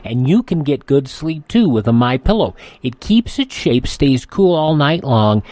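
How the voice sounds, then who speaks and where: A man's voice: mid-range voice, big pitch swings, measured pace, darkish tone, dry recording. A man reading a radio commercial in a folksy, earnest way, addressing listeners as friends. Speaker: product spokesman. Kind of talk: scripted radio ad read.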